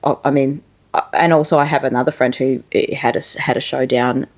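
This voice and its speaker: A woman's voice: rumbling low, fairly even intonation, fairly quick, a little mellow. A woman hosting a casual interview, informal and chatty, thinking aloud as she goes. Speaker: interview host and musician.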